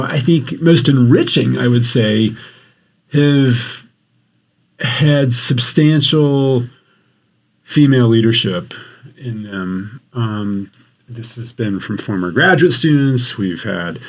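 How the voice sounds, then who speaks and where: A man, a geography professor, talking in a podcast interview in an earnest, explanatory way, often pausing to rephrase. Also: lowish voice. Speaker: geography professor and expedition researcher.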